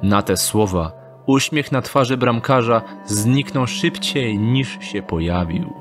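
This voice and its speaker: A man narrates an audiobook drama in an unhurried, measured voice of middle pitch. His delivery is deliberate and scripted. Faint music plays beneath. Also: expressive.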